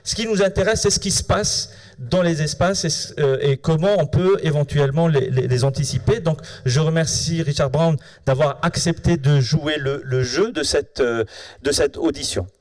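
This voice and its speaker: A man opening a public lecture, thanking the guest and handing over the mic in a halting way, repeating words. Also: fairly high pitch, a little mellow. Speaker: event organizer introducing a lecture.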